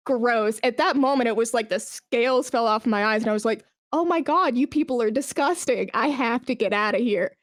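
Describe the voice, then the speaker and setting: Higher-pitched woman chatting candidly. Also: moderate pace, few pauses, no room sound, clean studio sound. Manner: informal, candid storytelling peppered with "like". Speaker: podcast guest, esports brand manager. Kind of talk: casual conversational interview.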